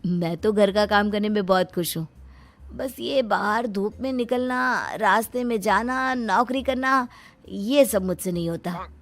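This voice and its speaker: A woman's voice: mid-range voice, a little slow, a bit bright. A woman voicing a lazy, whiny young man, a complaining son-in-law in a cartoon. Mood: carefree, complaining.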